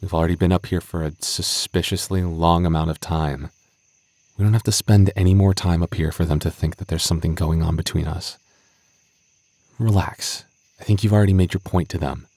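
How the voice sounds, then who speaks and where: A man's voice: low-pitched, darkish tone, no room sound. A man playing a flustered, bashful young male game master in an intimate roleplay audio drama, stammering, sighing and mock-stern with his childhood friend. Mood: embarrassed, exasperated.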